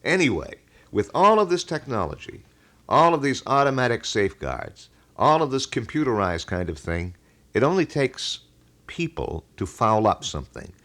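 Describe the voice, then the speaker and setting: A man hosting a local radio talk show, speaking in wordy, measured and detailed explanations. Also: medium pitch, sing-song, a bit bright. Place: radio studio.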